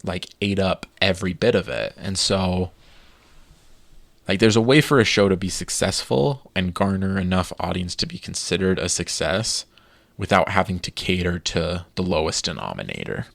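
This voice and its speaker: A man's voice: low voice, lively intonation, normal speed, gravelly, no room sound. A man co-hosting a podcast, chatting casually and enthusiastically with many fillers. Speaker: podcast co-host. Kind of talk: casual chat.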